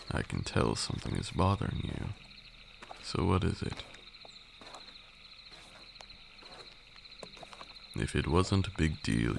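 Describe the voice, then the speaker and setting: A man playing an ancient, haughty vampire lord in an ASMR roleplay, a condescending mentor with formal, archaic speech and a gravelly voice. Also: heavily acted. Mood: teasing, probing. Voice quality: very deep, gravelly. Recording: steady noise with night insects. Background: crickets and night insect ambience.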